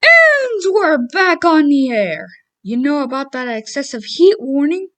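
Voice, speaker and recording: A woman's voice: high voice, sing-song, unhurried, fairly bright tone, full character voice. A woman playing a chatty, upbeat morning radio host who introduces songs and talks casually to listeners. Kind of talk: radio DJ patter.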